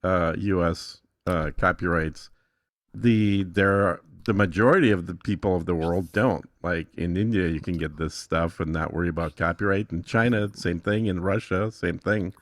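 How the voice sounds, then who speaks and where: Male podcast guest, lowish voice, dry close sound, casual and assured explainer. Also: moderate pace, no room sound. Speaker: podcast guest demonstrating software.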